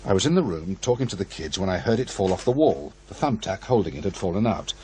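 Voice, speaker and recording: An actor playing a pushy, ambitious radio program maker, insistent and persuasive, in a radio drama. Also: male voice, low-pitched, very animated, fast talker, darkish tone, a little hiss or hum. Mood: eager, persuasive. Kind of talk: naturalistic radio drama dialogue.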